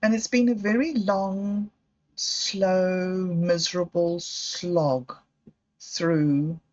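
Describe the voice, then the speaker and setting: A woman hosting a livestream, talking slowly and candidly in a meandering personal monologue. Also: lowish voice, steady tone, darkish tone. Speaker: livestream host. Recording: dull lo-fi livestream audio.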